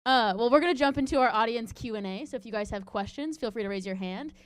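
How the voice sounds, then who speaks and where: A woman's voice: fairly high pitch, fast talker, smooth, dry recording. A woman hosting a live audience Q&A, bubbly, chatty and self-deprecating. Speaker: event host.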